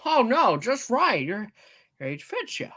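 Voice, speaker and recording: A man plays an eager young small-town dreamer in a radio play. His bright voice takes its time and swings widely in pitch, earnest and theatrical. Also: wildly swooping pitch, a little slow, slightly rough, exaggerated voice. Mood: giddy, boyish. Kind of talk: hammed-up live radio play reading.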